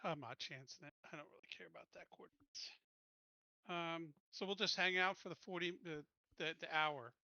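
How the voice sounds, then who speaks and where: A man with a slightly high, very sharp and forceful voice plans moves in a casual tabletop game. He is terse and matter-of-fact. The recording is close and dry, a touch muffled. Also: moderate pace, dry recording. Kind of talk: casual game planning talk.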